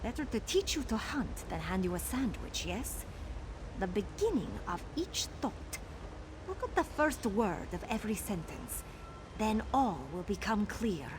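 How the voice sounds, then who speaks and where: A woman's voice: medium pitch, sing-song, a little slow, background noise. An actress voicing a sly, boastful female cat-folk rogue in a video game, wheedling and self-assured, speaking of herself in the third person. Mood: smug, teaching. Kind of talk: video game character dialogue.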